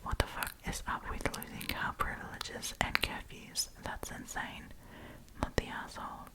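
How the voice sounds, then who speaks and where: Whispering woman, chatty ASMR storyteller. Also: unhurried. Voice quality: breathy whisper. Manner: chatty, playful, slangy.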